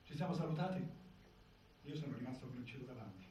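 A man's voice: mid-range voice, animated, fast, mellow, close to an everyday voice. An actor playing a frightened, desolate newly jailed man in a theatrical monologue. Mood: resigned. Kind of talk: theatrical first-person monologue. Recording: echoey, distant, dull, faint noise.

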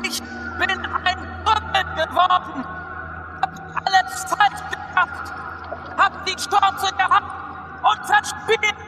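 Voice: very high voice, fairly even intonation, slow pace, long pauses, exaggerated voice. An actor in a radio play voicing a despairing young figure who laments in short broken sentences and blames itself. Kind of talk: German radio drama acting. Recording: long reverb with loud music behind. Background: loud music.

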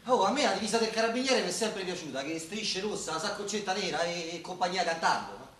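An eager, naive, chatty Roman working man. His rather high voice swings about in lively dialect. It sounds like a radio play in an echoey room. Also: fast. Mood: enthusiastic. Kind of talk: naturalistic stage-style radio drama acting in dialect. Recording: roomy echoing sound, faint noise.

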